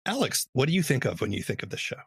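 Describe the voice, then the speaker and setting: Quick, gravelly, low-voiced woman. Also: sing-song, no room sound, studio quality. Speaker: interviewer, voice actor. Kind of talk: casual interview chat.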